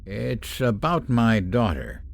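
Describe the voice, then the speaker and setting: A man's voice: medium pitch, sing-song, takes it slowly, vocal fry, exaggerated voice. An actor playing an anxious, evasive middle-aged father and war official in a radio drama, speaking hesitantly and with reserve. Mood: uneasy.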